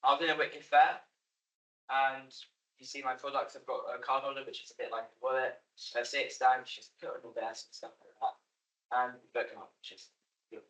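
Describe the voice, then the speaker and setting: A man in his thirties, speaking haltingly with many fillers about his small business. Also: medium pitch, little pitch movement, a bit bright. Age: 30s. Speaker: guest, small business maker. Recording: dull roomy sound from a distant mic.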